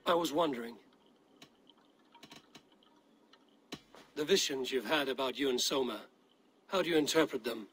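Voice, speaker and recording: An actor playing a stern Viking warrior in a video game, questioning people in a measured, grave way. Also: male voice, medium pitch, fairly bright tone, slight background noise, close to an everyday voice. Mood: intent, questioning. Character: stern probing Viking warrior investigating a betrayal. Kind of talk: video game cutscene dialogue.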